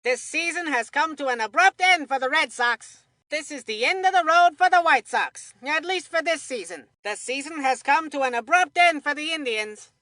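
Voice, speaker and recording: An excitable little boy calls baseball for a cartoonish kids' game. The voice is very high and forceful, with a theatrical, earnest announcer delivery. The sound is dry and close. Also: no echo. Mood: mournful, resigned. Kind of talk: animated sports commentary for a kids' video game.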